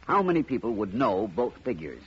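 An actor playing a calm, courteous private detective with an assured manner in a 1950s radio drama. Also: male voice, fairly low pitch, a little fast, breathy edge, exaggerated voice. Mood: probing, polite. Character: calm, confident, polite male private detective. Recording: muffled, noisy old radio recording.

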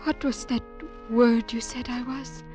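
An actress playing a shy, gentle young village woman, soft and hesitant, in an old radio drama. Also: a little high, steady tone, unhurried, natural voice. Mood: shy, tender. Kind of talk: 1940s-50s radio drama acting. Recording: old radio broadcast with music underneath.

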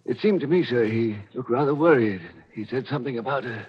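A man's voice: mid-range voice, fairly quick, slightly rough, close to an everyday voice. A man playing a deferential, hesitant household servant in 1940s radio mystery style. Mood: polite, uneasy. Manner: polite, hesitant. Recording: muffled old radio recording.

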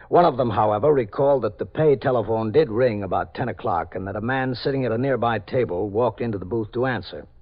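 A man reads formal, measured narration for a vintage radio crime series. His voice is slightly husky at a middle pitch. The old broadcast sound is dull and muffled. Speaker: announcer and narrator.

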